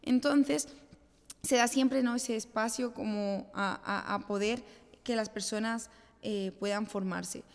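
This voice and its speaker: A woman's voice: fairly high pitch, steady tone, slow pace. A woman speaking as a podcast guest in a conversational interview, explaining her points and acting out little bits of self-talk. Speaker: guest, children's pastor. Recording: echoey hall-like reverb.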